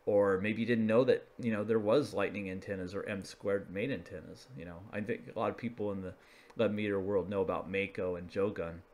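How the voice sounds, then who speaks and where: A man's voice: lowish voice, steady tone, fairly quick, darkish tone, slight background noise. A man presenting a hobbyist explainer in a casual, unscripted, conversational way, feeling his way through phrases as he talks over slides. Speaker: video presenter, radio hobbyist.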